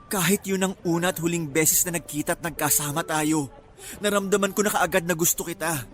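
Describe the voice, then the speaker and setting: Pleading young dad, high-pitched voice, Filipino accent, emotional radio drama, music underneath. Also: male voice, fairly bright tone. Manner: emotional, insistent. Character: earnest young father pleading to claim his secret child. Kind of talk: radio melodrama acting.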